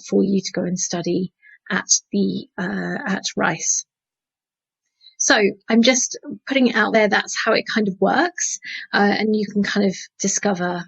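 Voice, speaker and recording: A woman's voice: medium pitch, gravelly, husky, lo-fi sound. A woman talking students through a website on a video call, chatty and full of fillers. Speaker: panel speaker guiding students. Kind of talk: screen-share walkthrough.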